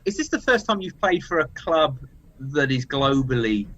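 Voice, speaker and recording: A man's voice: medium pitch, big pitch swings, normal speed, fairly bright tone. A man interviewing a footballer, asking polite, slightly hesitant questions. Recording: dull, muffled clip audio.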